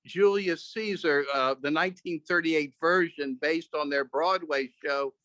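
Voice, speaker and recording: A man telling earnest, halting reminiscences to a group over a video call. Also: a little high, a bit bright, no echo. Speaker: speaker sharing memories at an online club meeting. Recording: dull, dry video call audio.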